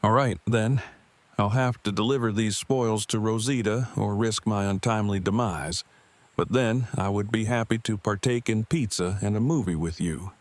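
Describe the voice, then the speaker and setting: Audio drama role: awkward, verbose male survivor played by a man, low register, stiff formal delivery. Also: moderate pace, no room sound, close to an everyday voice. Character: nervous, wordy, formal-spoken adult male apocalypse survivor.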